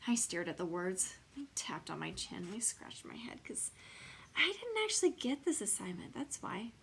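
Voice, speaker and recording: A woman's voice: medium pitch, wildly swooping pitch, moderate pace, vocal fry, a bit bright, a little hiss or hum, full character voice. A woman voicing a spunky, blunt first-grade girl who tells her own story with dramatic emphasis. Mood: puzzled, dramatic. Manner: dramatic, emphatic, childlike phrasing. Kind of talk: children's storybook read-aloud in first person.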